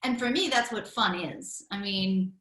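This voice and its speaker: A woman hosting an interview show, enthusiastic, laughing often and reacting with quick affirmations. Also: medium pitch, fairly bright tone, roomy sound. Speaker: podcast host. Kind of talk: upbeat interview with quick-fire questions.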